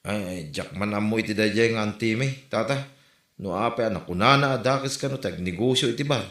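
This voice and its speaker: A man's voice: lowish voice, fairly quick, fairly bright tone. A man with an Ilocano accent acting as an aggrieved, protective older sibling in a comedy drama. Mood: subdued. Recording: roomy, echoing room sound.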